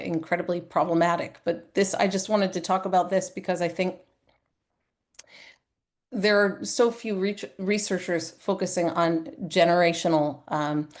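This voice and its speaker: A woman presenting at a conference session, hoarse from a cold and often clearing her throat, talking earnestly and conversationally with frequent fillers. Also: on the low side, darkish tone. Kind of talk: live-streamed conference talk.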